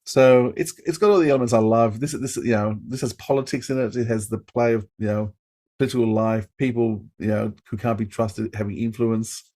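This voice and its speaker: A man's voice: lowish voice, fairly even intonation, a little fast, mellow. A man hosting a podcast, talking casually as a fan and interviewer. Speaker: podcast host.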